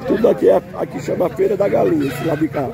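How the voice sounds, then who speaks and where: Gravelly older man. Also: high-pitched, quick pace, crowd noise. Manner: chatty, points things out to viewers. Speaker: vlogger. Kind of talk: casual vlog narration. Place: in a car and at an outdoor street market.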